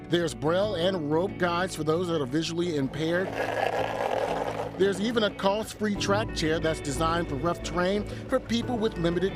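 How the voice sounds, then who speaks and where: A high-voiced man presents an upbeat travel piece with playful, self-deprecating delivery. Music runs clearly underneath his voice as he hosts. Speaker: host of a local travel segment. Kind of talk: upbeat scripted travel narration mixed with on-location chat. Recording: music bed behind the voice.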